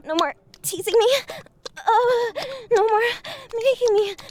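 A woman playing an exasperated, foul-mouthed adult restaurant worker in an erotic audio roleplay, pleading and swearing between breathy exclamations. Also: very high voice, unhurried, dark tone. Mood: overwhelmed.

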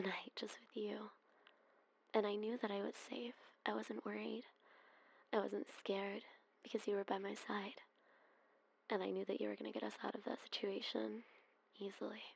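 A woman doing a whispered ASMR roleplay, speaking in soft, breathy whispers with frequent sighs. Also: mid-range voice, poor audio.

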